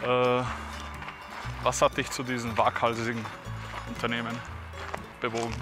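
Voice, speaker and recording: A man's voice: mid-range voice, completely flat delivery, moderate pace, over music. A man in his thirties hosting a casual outdoor interview while out on a hike. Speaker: vlog host and interviewer. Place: outdoors on a mountain valley hike and at a garden table.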